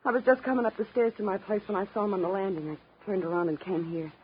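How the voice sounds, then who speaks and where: A woman's voice: mid-range voice, fairly even intonation, fast, lightly acted. An actress playing a frightened, shaken young woman on the run in a 1950s radio drama. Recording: muffled, noisy 1950s radio recording.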